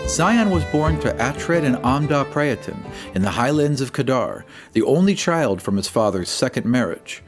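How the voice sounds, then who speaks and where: A man's voice: mid-range voice, very animated, normal speed, hardly any pauses. A man giving a scripted introduction as a Dungeon Master for a fantasy tabletop game. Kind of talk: scripted narration, campaign introduction. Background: music.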